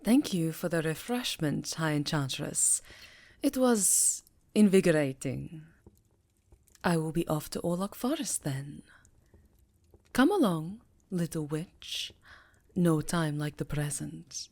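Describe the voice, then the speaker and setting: Casting: regal vampire countess, played by a woman, low and unhurried, courteous yet sly, for fantasy ASMR roleplay. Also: expressive, a little mellow, no room sound, full character voice. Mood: courteous, satisfied. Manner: formal, languid, savoring words.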